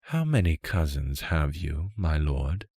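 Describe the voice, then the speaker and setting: A man's voice: rumbling low, sing-song, takes it slowly, darkish tone, breathy edge, no room sound, clean studio sound, heavily acted. A man voicing a curious young noblewoman in a fantasy storybook, polite and inquisitive in manner.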